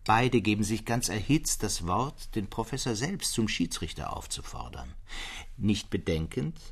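Low husky brooding literary storyteller guy, radio drama, swings up and down a lot. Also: very animated, heavily acted. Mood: animated storytelling. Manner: dramatic, deliberate, old-fashioned literary phrasing. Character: brooding, fervent literary storyteller among a circle of friends.

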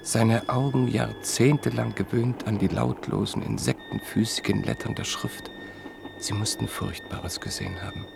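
A man narrating a radio play in a measured, solemn manner. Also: fairly low pitch, animated, creaky, noisy background.

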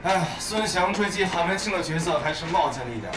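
A man's voice: high-pitched, lively intonation, fast talker, background noise. A man playing an assured esports analyst in an audio drama, explaining matches conversationally as a broadcast over speakers. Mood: analytical. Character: measured male esports analyst, co-commentator. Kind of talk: sports commentary in an audio drama. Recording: echoey, loud music behind.

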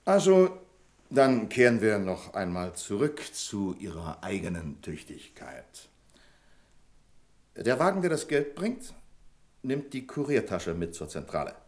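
Casting: an exacting, imperious branch boss for radio drama, insistent delivery with big pitch swings. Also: male voice, very animated, unhurried. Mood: brisk. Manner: pedantic, commanding, insistent. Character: strict, imperious male bank branch manager. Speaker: actor in a radio play. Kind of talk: German radio play acting.

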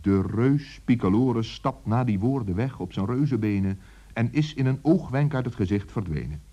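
An actor as the narrator of a children's radio play, telling the tale as scripted storytelling. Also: male voice, medium pitch, lively intonation, normal speed, warm tone, husky, lo-fi sound. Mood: calm, storytelling.

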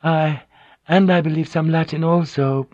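A man voicing a composed, courteous Japanese noblewoman who translates for others, soft-spoken and polite. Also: fairly high pitch, steady tone, measured pace, no room sound, pitched above the performer's own voice, natural voice. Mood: hushed. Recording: dull, dry lo-fi recording.

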